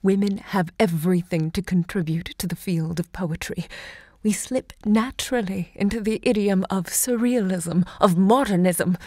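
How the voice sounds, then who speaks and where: An actress playing a self-assured, romantic literary hostess and seductress in an audio drama, theatrical and eloquent in delivery. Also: female voice, mid-range voice, normal speed, a little mellow, dry recording, lightly acted. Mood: grand, visionary.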